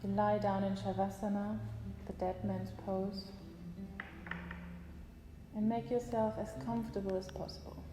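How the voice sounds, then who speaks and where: A woman guiding a yoga nidra relaxation session, giving slow, calm instructions with long pauses. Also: mid-range voice, music in the background. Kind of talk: guided relaxation meditation. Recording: roomy echo with long reverb, faint hiss.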